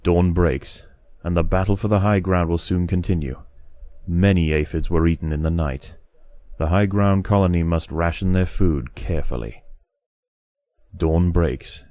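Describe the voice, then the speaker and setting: A man narrating a game in a scripted nature-documentary style, measured, grave and dramatic. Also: deep voice, mellow, no room sound, clean studio sound.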